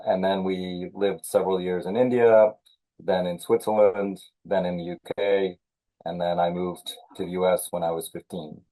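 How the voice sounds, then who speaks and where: A man born in Senegal, a thriller author being interviewed, talking in a measured way with many fillers and restarts. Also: deep voice, dark tone, poor audio. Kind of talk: conversational interview.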